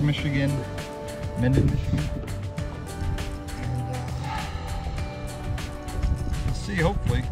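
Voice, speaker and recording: Loud music plays around a man's fairly high voice. He talks casually to a camera mounted on his fishing boat, out on open water. His delivery is matter-of-fact, with muttered asides as he works. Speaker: fishing vlogger. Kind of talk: casual outdoor fishing commentary. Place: outdoors on a small boat on a lake. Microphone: action camera mounted on the boat, a few feet away.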